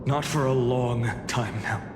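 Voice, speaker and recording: An actor playing a solemn, resolute young hero in a fantasy video game, speaking in an earnest, grave and measured way. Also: male voice, mid-range voice, fairly even intonation, noisy background, close to an everyday voice. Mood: solemn, hopeful. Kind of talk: dramatic game voice acting. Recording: echoey hall reverb with music.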